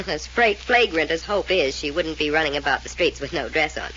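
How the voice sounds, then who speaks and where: Sardonic, animated young society woman. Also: low voice, a little fast. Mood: startled, skeptical. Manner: sardonic, flippant, drawling on key words. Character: flippant, cynical young woman of a scandal-ridden wealthy family. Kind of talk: old-time radio mystery serial acting. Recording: noisy old-time radio recording.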